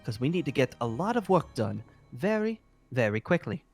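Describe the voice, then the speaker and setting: A man's voice: mid-range voice, big pitch swings, moderate pace, slightly rough. A man playing an eager, apologetic fantasy guild leader in improvised roleplay, talking in a hesitant, rambling way. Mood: apologetic, earnest. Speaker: roleplay performer. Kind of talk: improvised Minecraft roleplay dialogue. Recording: music under the voice.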